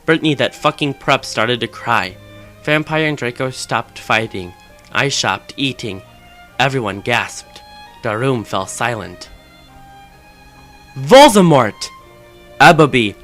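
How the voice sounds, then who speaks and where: A man's voice: mid-range voice, measured pace, bright, forceful tone. A man reading fan fiction aloud as a dramatic narration, with music behind him.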